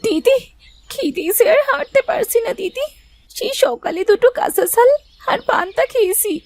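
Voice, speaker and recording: Very high-pitched, timid little girl. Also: lively intonation, moderate pace. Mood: plaintive, fearful. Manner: plaintive, childlike. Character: timid, pleading little orphan girl, younger sister. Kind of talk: Bengali cartoon voice acting.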